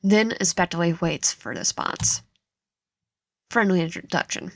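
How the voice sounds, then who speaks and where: A woman's voice: lowish voice, moderate pace, a bit bright, slight background noise. A woman gaming host reading a visual novel aloud with commentary, often stumbling over words. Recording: echoey room, faint music behind. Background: faint music.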